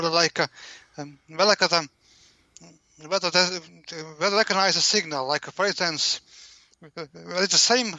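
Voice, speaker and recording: High-voiced halting man, dull lo-fi line. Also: sharp tone, no echo. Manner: halting, heavily stammered repetitions of words. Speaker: interview guest, researcher. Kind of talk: unscripted explanation in an interview. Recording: dull, lo-fi call-in audio.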